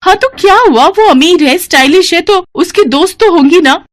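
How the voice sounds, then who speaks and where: A woman's voice: extremely high pitch, normal speed, no echo, studio quality, pitched above the performer's own voice. A woman voicing a spoiled, argumentative college girl who craves riches, in a story cartoon. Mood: defiant, pleading. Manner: petulant, sarcastic, argumentative. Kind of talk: Hindi moral story cartoon acting.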